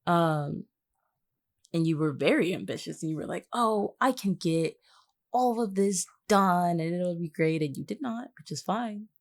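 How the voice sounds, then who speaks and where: A woman's voice: fairly low pitch, expressive, unhurried. A woman chatting casually as a podcast co-host, teasing and often repeating her phrases for emphasis.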